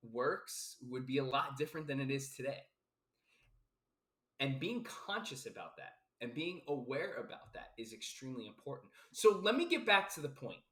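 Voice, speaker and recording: A man's voice: mid-range voice, a bit bright. Emphatic life coach man, roomy sound. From South Florida, US. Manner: emphatic and repetitive, casual swearing, many fillers. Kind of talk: casual philosophical podcast monologue. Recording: roomy echo.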